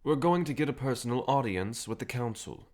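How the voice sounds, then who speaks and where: An actor playing a cheerful, self-assured, century-old vampire rebel leader in an audio drama, courteous and persuasive with a theatrical manner. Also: male voice, medium pitch, expressive, a little fast, darkish tone, close to an everyday voice. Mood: determined, persuasive. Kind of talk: scripted audio drama acting.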